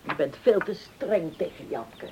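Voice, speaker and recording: An actress playing a gentle, pleading middle-aged mother in a radio play, on a dull, noisy recording. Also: lowish voice, lively intonation, unhurried. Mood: pleading, soothing. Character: gentle, pleading mother defending her daughter.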